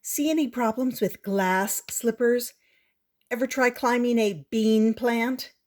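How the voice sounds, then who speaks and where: A woman's voice: fairly high pitch, slow pace, darkish tone, voice pushed up. A woman voicing an outspoken, scornful schoolgirl in a storybook, challenging and declarative. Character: outspoken, scornful schoolgirl who scoffs at fairy tales.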